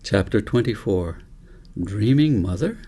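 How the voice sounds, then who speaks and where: A man narrating a children's audiobook in a measured, deliberate storytelling manner. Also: on the low side, lively intonation, dark tone. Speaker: author reading own book aloud.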